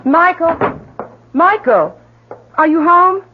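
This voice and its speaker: A woman's voice: very high-pitched, very animated, takes it slowly, heavily acted. An actress playing an affectionate, fussing middle-aged mother and wife in a 1970s radio drama. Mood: worried. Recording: noisy, muffled old radio broadcast recording.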